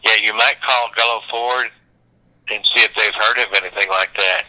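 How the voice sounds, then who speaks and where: A man's voice: fairly low pitch, fairly even intonation, normal speed. A man working at an auto repair shop, talking casually on a phone line. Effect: telephone. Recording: sharp telephone line.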